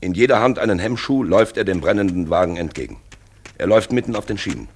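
Urgent dramatic narrator, 1950s radio, deep, creaky, short staccato phrases. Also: male voice, animated, fast talker, a little hiss or hum. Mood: grave, urgent. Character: grave, urgent narrator of a looming railway disaster.

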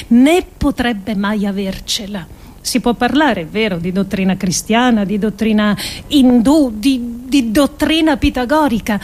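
Female actor, higher-pitched voice, slow and emphatic theatrical radio monologue with weighted pauses. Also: warm tone. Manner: deliberate, emphatic delivery with dramatic pauses. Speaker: actress reading adapted essay.

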